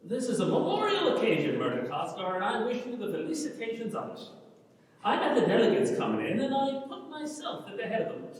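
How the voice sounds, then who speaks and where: Man as a pompous, swooping high-voiced countryman. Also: wildly swooping pitch, brisk, exaggerated voice. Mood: pompous, excited. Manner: pompous, grandiloquent. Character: pompous, self-important, excitable young countryman. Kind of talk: dramatic stage reading of an Irish rural play. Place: large reverberant hall, recorded from afar. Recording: echoey hall, distant microphone.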